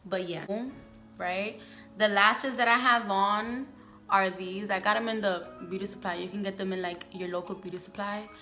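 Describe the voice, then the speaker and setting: Candid young Bronx woman, bright echoey voice. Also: medium pitch, fairly even intonation, normal speed, soft background music. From the Bronx, New York. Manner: candid and emphatic, heavy slang, talks to viewers as "sis" and "girl". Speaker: beauty vlogger. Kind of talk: casual get-ready-with-me chat.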